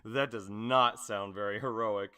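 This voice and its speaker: A man's voice: lowish voice, fairly even intonation, measured pace, bright, forceful tone, clear, resonant tone. A man playing a brash, spirited teenage boy superhero student in an anime dub, with punchy, emphatic delivery. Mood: teasing, disapproving. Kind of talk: anime dub audition acting.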